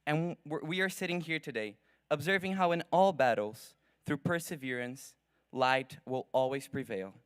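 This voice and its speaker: A man's voice: fairly high pitch, a bit bright, clean tone, no room sound, studio quality. Earnest young man giving a graduation speech. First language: Portuguese. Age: young adult. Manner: earnest, formal and measured, with dramatic pauses. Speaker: graduating student speaker. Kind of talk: prepared commencement speech. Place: graduation ceremony.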